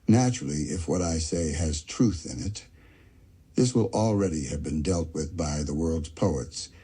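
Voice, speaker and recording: A man giving a slow, measured audiobook-style reading of a psychoanalytic essay, pausing on the section headings. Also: sits low, warm tone, breathy edge. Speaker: reader of an essay.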